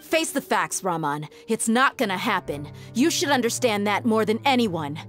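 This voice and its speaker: A woman's voice: mid-range voice, animated, moderate pace, close to an everyday voice. An actress playing a tough, blunt, level-headed young mercenary woman in a video game, speaking firmly. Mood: urgent reasoning. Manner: firm, blunt, exasperated. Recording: faint music under the voice.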